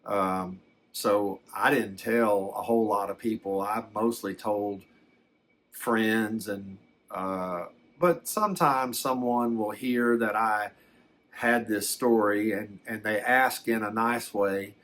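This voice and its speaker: An older man telling a personal story in a casual, unscripted way, halting and repeating words as he reflects. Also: lowish voice, fairly even intonation, fairly bright tone. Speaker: storyteller recounting a personal experience.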